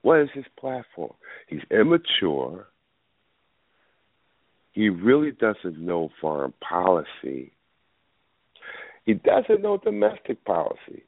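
Deep-voiced male talk radio host, loose and rambling, a bit under the weather, heard over a phone line. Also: huge pitch swings, takes it slowly, gravelly, long pauses, dry recording. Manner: rambling, thinks aloud with many fillers. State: battling a cold. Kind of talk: casual call-in radio talk. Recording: tinny phone line, dry.

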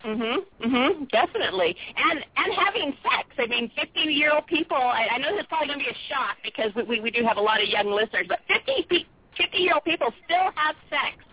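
A woman in her fifties interviewing on the radio, chatty and quick to laugh. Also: sits high, lively intonation, dry recording. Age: 50s. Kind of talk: casual interview chat. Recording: dry tinny phone-line sound.

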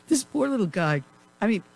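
A chatty woman hosts a talk radio show, rambling from one everyday story to the next and laughing often. Her voice is starting to go hoarse. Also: fairly high pitch, a little mellow, low-quality recording. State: losing her voice. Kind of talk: casual talk radio chat.

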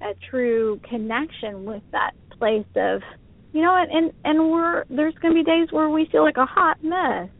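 A woman's voice: high voice, moderate pace, no room sound. A woman in her forties chatting casually as co-host, rambling and self-deprecating, often laughing at herself. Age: 40s. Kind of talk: casual chat on internet radio. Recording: dry tinny phone line.

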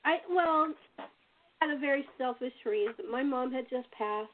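A woman hosting a casual group conversation, speaking in a halting, conversational way. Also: sits high, slight background noise. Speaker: host. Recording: thin phone-like call audio.

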